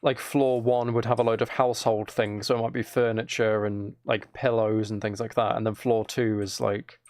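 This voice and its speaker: A man's voice: on the low side, level tone, normal speed, a little mellow, no room sound. A man chatting casually and teasingly to his viewers while playing a game on a livestream.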